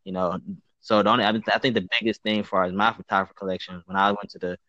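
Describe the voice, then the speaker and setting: A man's voice: lowish voice, flat delivery, fast talker, sharp tone, no room sound, lo-fi sound. A man in his twenties from Ohio, chatting casually and rambling with lots of "you know" fillers. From Ohio, USA. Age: 20s. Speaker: conversation partner, amateur photographer. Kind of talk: casual chat.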